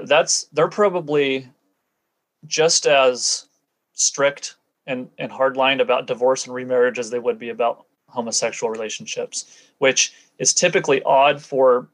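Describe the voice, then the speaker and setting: Guy on an interview, medium pitched voice, just explaining stuff matter of fact, chatty. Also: steady tone, a little slow, poor audio. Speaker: interview guest, former church member. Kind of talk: conversational recounting and explaining.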